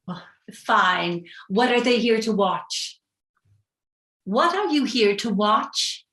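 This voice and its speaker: A woman's voice: mid-range voice, expressive, slow pace, a bit bright. A woman playing a bossy, determined older woman in a staged reading of a play. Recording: roomy, echoey sound.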